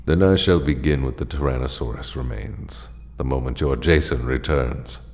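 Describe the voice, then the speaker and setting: An extremely low-voiced, grave ancient ally played by a man in an audio drama. He speaks formally and with deliberation, weighing out each phrase. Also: moderate pace, darkish tone, big-room sound. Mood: solemn, measured.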